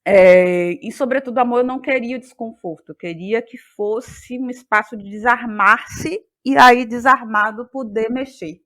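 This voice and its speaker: A woman's voice: fairly high pitch, moderate pace, hardly any pauses, a bit bright. Thoughtful woman in interview. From Bahia, Brazil. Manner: searching, thoughtful, repeats words as ideas form. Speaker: interviewed guest, playwright and actress. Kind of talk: reflective interview talk about creative process.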